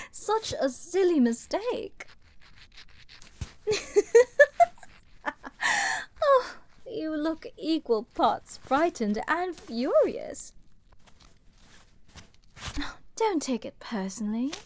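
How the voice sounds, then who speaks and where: A woman playing an arrogant, flirty and menacing princess in a dramatic fantasy ASMR roleplay, teasing and theatrical, with drawn-out words and dips toward a whisper. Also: fairly high pitch, dry recording, full character voice. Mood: gleeful, mocking. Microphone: close studio mic, dry.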